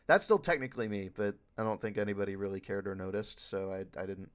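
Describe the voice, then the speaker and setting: Low, clear-voiced man in casual talk. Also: fast talker. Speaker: panelist, dubbing group member.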